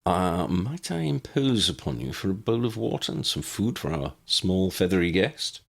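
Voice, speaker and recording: A man voicing a calm, courteous old man in an audiobook, speaking in a measured, formal and unruffled way. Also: deep voice, gravelly, full character voice. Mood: genial. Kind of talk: audiobook character voice.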